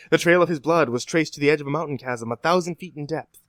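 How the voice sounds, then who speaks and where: A man's voice: fairly high pitch, moderate pace, a bit bright, no room sound, natural voice. A man acting a kindly, avuncular older professor in an old-time radio drama style. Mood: reassuring, hushed. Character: kindly, fatherly older male professor, soothing mentor.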